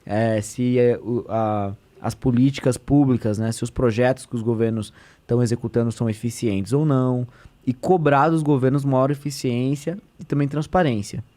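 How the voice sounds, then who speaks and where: A man's voice: mid-range voice, fairly even intonation, normal speed, mellow. A man, a state deputy talking as a podcast guest, explaining in an earnest, conversational way with many fillers and restarts.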